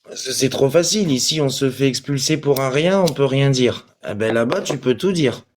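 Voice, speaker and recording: A man talks on a livestream in a middle-pitched voice. He is confrontational and crude, swearing and trading abuse with commenters as he goes. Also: normal speed. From Portugal. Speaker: live stream participant. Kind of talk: livestream chat replying to commenters.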